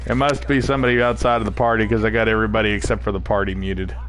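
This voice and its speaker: A man's voice: lowish voice, flat delivery, racing, fairly bright tone, rough recording. A man from New England, chatting casually as a live streamer while playing a shooter, rambling and reading viewers' chat aloud. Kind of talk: casual gameplay commentary.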